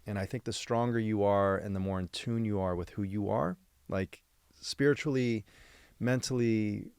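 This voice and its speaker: A man's voice: lowish voice, moderate pace, creaky, darkish tone, clean tone, no room sound. A man from San Diego hosting a podcast, thinking aloud in a rambling, reflective way during a casual interview conversation. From San Diego, United States. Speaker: podcast host.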